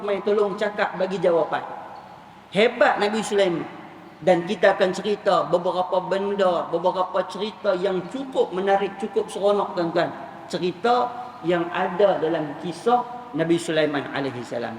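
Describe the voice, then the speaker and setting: A man with a northern Malaysian Malay accent preaching as he retells religious stories in a colloquial, repetitive storytelling manner. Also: sits high. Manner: colloquial storytelling, acting out dialogue, repeating phrases for emphasis. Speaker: Islamic preacher. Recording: echoey hall, distant mic, faint noise.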